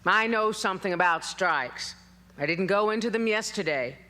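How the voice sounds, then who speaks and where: An actress playing a feisty, defiant elderly female union organizer rallying miners in a forceful, wry, declamatory dramatic reading. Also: medium pitch, expressive, moderate pace. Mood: rousing, defiant.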